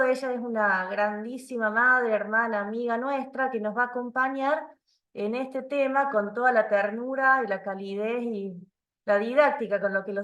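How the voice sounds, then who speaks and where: A woman's voice: on the high side, fairly bright tone, distant mic. Woman, host, friendly, echoey room. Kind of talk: introducing a guest online.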